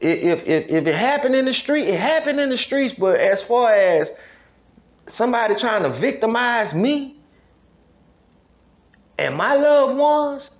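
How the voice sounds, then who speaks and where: A man's voice: extremely high pitch, sing-song, measured pace, pauses often, muffled recording. An American man telling a personal story casually, in an emphatic, repetitive way full of street slang and check-in phrases. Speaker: podcast storyteller.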